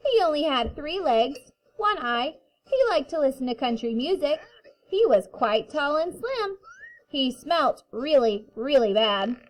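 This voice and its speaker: Animated, high-voiced woman reading a picture book. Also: rough recording. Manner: sing-song, drawn-out playful delivery. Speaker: kindergarten teacher reading to her class. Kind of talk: children's picture-book read-aloud.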